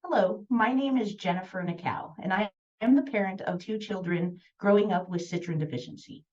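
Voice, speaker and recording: A woman from the United States, a parent of two children, reading a prepared statement in a steady, composed way. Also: mid-range voice, a little fast, far from the mic, dull audio.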